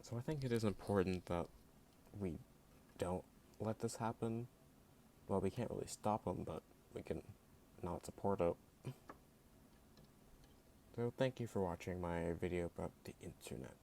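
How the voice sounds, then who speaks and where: A man's voice: sits low, measured pace, vocal fry, pauses often, mellow, slight background noise. A man giving an opinion rant as a video creator, sarcastic and blunt, with a voice that sounds jittery at times. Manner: sarcastic and blunt, heavy use of "like". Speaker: YouTube creator.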